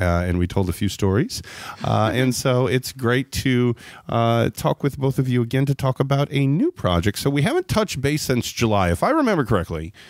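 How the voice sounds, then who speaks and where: A man's voice: on the low side, dry recording, clean studio sound. A man hosting a podcast, interviewing in an eager, rambling, conversational way that often backtracks. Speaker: podcast host.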